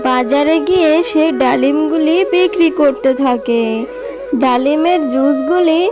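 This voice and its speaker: A woman's voice: high-pitched, a little slow, over music. A woman narrating a scripted cartoon story for children.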